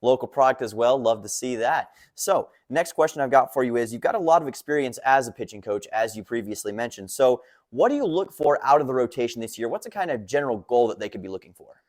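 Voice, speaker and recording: A man's voice: medium pitch, lively intonation, fast talker, few pauses, a little mellow. A man hosting a sports interview with an upbeat, announcer-like delivery. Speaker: interview host and radio play-by-play man.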